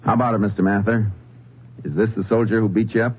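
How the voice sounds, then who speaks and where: Deep-voiced Texas Ranger, old radio. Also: male voice, steady tone, fast, husky. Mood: probing. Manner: terse, matter-of-fact questioning and narrating. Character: calm Texas Ranger investigator narrating his case. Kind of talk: 1950s radio drama acting. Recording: muffled old radio broadcast.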